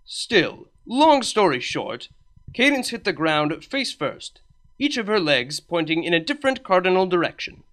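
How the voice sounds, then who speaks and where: A man narrates a comedic fan story with a wry, deadpan touch. His voice sits fairly high for a man and swings freely in pitch as he lands the jokes. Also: measured pace. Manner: wry, deadpan delivery with lively emphasis. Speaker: fan fiction reader.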